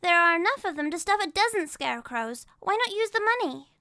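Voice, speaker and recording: An excited young boy , voiced by a male narrator, speaks in a very high, bright, piping tone. The sound is dry and close, with no room around it. He talks eagerly and full of wonder. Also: fairly quick, no room sound, exaggerated voice. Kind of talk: audiobook character voice.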